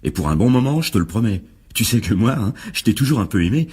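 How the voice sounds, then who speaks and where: Give the part to a seductive, scheming playwright in a radio play. His voice is very low and strongly husky, swooping expressively as he coaxes and flatters. He sounds confiding, like a man sharing a secret. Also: fast talker. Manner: coaxing, flirtatious, secretive. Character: smooth, persuasive actor-playwright wooing an old flame. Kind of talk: French radio drama acting.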